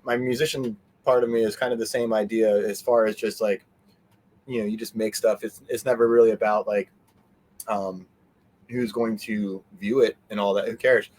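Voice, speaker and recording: Rambling, animated man chatting casually. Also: fairly low pitch, moderate pace, rough recording. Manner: animated, meandering, trails off mid-sentence. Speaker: interview guest, fan convention organizer. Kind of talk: casual rambling interview chat.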